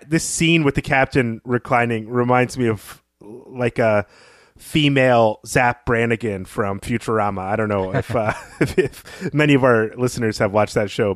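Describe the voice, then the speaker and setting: A man's voice: medium pitch, no room sound, studio quality. A man co-hosting a podcast, analysing a story in a reflective, conversational way as he pieces his thoughts together. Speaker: podcast co-host.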